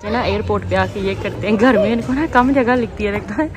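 A woman's voice: medium pitch, a little fast. A woman in her thirties from Pakistan, with a Pakistani Urdu accent, chatting casually to viewers in a vlog. She talks straight to the camera and often adds a polite "ji". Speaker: family vlogger. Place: busy airport, parking and terminal. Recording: loud crowd and traffic noise.

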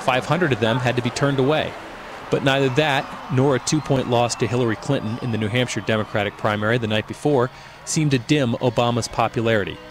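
A man narrating a scripted news report in a measured, even way. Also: mid-range voice, noisy background.

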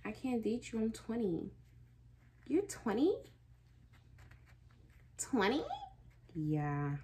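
A woman's voice: fairly high pitch, big pitch swings, slow, warm tone. A 20-year-old woman chatting casually and candidly in a personal vlog, rambling reflectively in a bedroom. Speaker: vlogger. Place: small bedroom, indoors.

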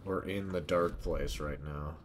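Male let's-player, very low voice, muttering and swearing casually, game noise behind. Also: fairly even intonation, a little slow. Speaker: game streamer. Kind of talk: casual let's play commentary. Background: video game ambience.

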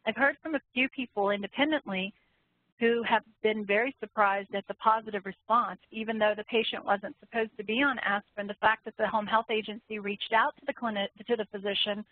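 A woman moderates a panel Q&A, fielding questions for her guests. Her voice sits at a medium pitch. It comes through a dry, phone-like line with narrow bandwidth. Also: dry recording. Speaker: webinar moderator.

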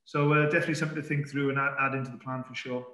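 A middle-aged man, a football manager, answering press questions calmly over a video call, with many fillers and restarts. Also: medium pitch, very fast. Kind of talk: post-match press conference. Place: indoor press room in front of a sponsor board. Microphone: webcam on a video call. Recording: echoey room.

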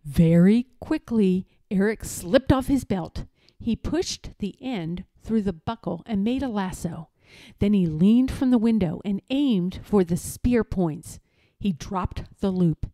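A woman reading a children's story aloud in a deliberate, expressive, dramatic style. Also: medium pitch, no room sound. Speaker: storybook reader.

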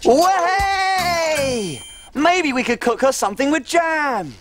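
A performer voicing a tiny cheerful cook on a children's show, telling a story in an excitable way. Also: high-pitched, slow pace, music in the background. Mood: excited. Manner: bouncy, excitable.

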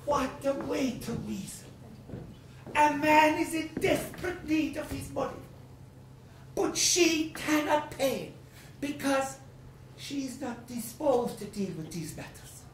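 A woman's voice: high voice, animated, takes it slowly, slight background noise. A woman playing a blustering, angry male landowner who rants in exclamatory, self-pitying outbursts, acting out a stage play. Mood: furious. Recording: roomy echo, from a distance.

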